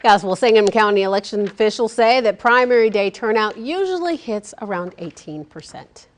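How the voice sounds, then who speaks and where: A woman's voice: mid-range voice, lively intonation, a little fast. A woman with an American accent reading a scripted local television newscast as anchor. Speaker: news anchor. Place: TV news studio.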